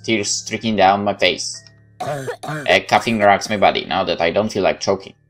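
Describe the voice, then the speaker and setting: A man reading a horror visual novel aloud as a gaming host, with dramatic, expressive delivery and exclamations. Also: lowish voice. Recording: music behind the voice.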